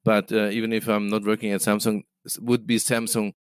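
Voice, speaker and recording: A middle-aged man with as his talks through interview answers in a resonant, smooth voice. He hesitates often, filling gaps and restarting phrases. The sound is dry and close. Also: on the low side, flat delivery, fairly quick, no room sound. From Germany. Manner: hesitant, many fillers and restarts. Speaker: guest, business development manager.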